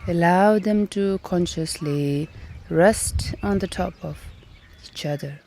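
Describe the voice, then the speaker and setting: A woman guiding a meditation in a calm, gentle manner, leaving long pauses between short phrases. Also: on the low side, a little hiss or hum. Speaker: meditation and yoga teacher. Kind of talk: guided meditation instruction. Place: outdoors in nature. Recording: outdoor recording with birdsong behind. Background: birdsong and natural ambience.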